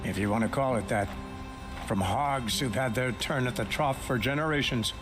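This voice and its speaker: A righteous thief from a video game, played by a man, carrying grief and bitterness. His mid-pitched voice is blunt and earnest. Music plays clearly underneath. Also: normal speed, natural voice. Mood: defiant, bitter. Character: grieving, righteous male thief who feeds the poor. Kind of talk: video game dialogue acting. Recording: music bed behind the voice.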